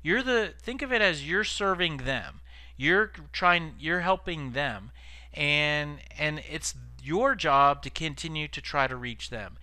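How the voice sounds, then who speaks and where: A man's voice: on the high side, animated, slow, pushed and bright, dry recording. A man giving informal instructional tips as a sales trainer, explaining conversationally and stressing key words.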